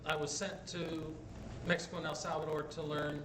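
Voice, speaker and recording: A middle-aged bishop with a high voice speaks at a conference, switching between his learned Spanish and his native English. He tells stories in a jovial way and keeps asking his listeners "right?". He is heard from a distance in an echoey hall, with steady noise underneath. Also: normal speed. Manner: jovial storyteller, asks "right?" often.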